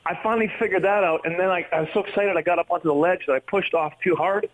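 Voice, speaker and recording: A man's voice: high voice, steady tone, very fast, rough recording. A man chatting casually as an interview guest, joking at his own expense and acting out his anecdotes. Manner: self-deprecating, joking, acts out his stories. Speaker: comedian and actor, interview guest. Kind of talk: animated anecdote-telling in casual radio interview.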